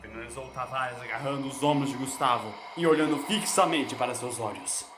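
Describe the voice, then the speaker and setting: A man's voice: medium pitch, a little fast. A man narrating a scripted dramatic story in a solemn, theatrical way. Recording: echoing voice over music.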